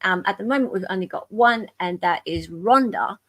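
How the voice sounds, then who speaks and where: A woman's voice: medium pitch, normal speed, a bit bright, no echo. A woman with an Australian accent hosting a casual live group chat in a chatty, informal, moderating way. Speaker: livestream host.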